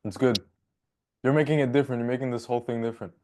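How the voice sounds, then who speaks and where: A young man with a mid-pitched voice hosts a relaxed podcast chat. He rambles and jokes loosely and is heard over a webcam from his bedroom. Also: a little hiss or hum. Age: young adult. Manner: loose and rambling, quick to joke. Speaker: podcast host. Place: bedroom at home. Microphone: video call webcam.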